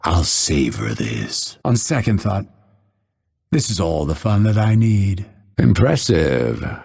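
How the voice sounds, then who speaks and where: An actor playing a proud, cold, vengeful assassin in a video game, with a husky voice and measured, haughty, menacing delivery. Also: male voice, deep voice, very animated, darkish tone, full character voice. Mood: disdainful menace. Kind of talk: scripted game voice lines, theatrical delivery.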